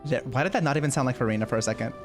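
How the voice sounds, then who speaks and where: A streamer with a medium-pitched voice reacts casually to a video game. The talk is slangy and gushing, full of repeated phrases, with game music behind it. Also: racing. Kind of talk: casual playthrough reaction commentary.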